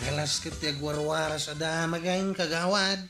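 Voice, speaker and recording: A man's voice: a little high, steady tone, normal speed, reverberant, over loud music, close to an everyday voice. An actor playing a concerned village man in an Ilocano comedy radio drama, with an Ilocano Filipino accent. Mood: reporting.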